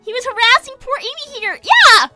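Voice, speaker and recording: A woman's voice: very high-pitched, animated, a little fast, sharp tone, soft background music, full character voice. A woman voicing a perky, impulsive young cartoon hedgehog girl, chatty and exclamatory in an improvised livestream. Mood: indignant, sassy. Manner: chatty, filler-heavy, exclamatory. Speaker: livestream host. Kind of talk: improvised character livestream, reading out donor messages.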